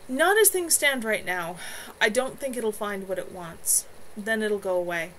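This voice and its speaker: A woman voicing a gentle, loyal male friend in a novel reading, speaking softly and with concern. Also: mid-range voice, animated, a little slow, a little hiss or hum, rough recording. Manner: soft-spoken, solicitous.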